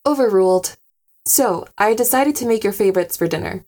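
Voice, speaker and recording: A woman's voice: mid-range voice, lively intonation, fairly quick, a little mellow, close to an everyday voice. An actress playing a candid wife who spars affectionately with her husband in an audio drama, casual and teasing.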